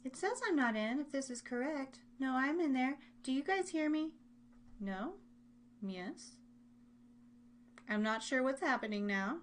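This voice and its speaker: High-voiced, chatty American woman hosting. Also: expressive, a little slow, pauses often, a little hiss or hum. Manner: rambling, bubbly, informal, easily sidetracked. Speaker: host of a live online radio show and podcast. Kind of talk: casual, chatty live hosting with listeners.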